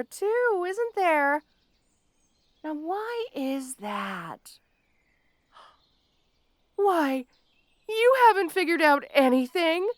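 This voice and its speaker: A woman playing a petty, obsessed female supervillain in an audio roleplay, theatrical and taunting, with mock-sweet, drawn-out words. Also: high voice, a bit bright, dry recording, clean studio sound, heavily acted. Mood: taunting delight. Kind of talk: scripted audio roleplay addressed to the listener.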